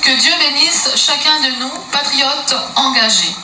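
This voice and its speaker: A woman's voice: sits high, unhurried. A woman opening a press conference with a solemn, emphatic political declaration. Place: meeting room in Brussels. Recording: echoey room from far off, noisy.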